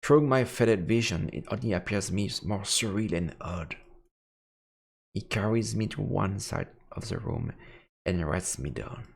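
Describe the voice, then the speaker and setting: Low, creaky man narrating dramatically. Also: lively intonation, a little slow. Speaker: streamer reading a game aloud. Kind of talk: dramatic narration of a visual novel. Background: faint music.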